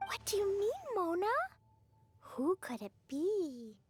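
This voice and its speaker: A woman's voice: very high-pitched, sing-song, takes it slowly, music in the background, exaggerated voice. A woman voicing a sweet, eager, childlike little girl in a video game cutscene. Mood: curious.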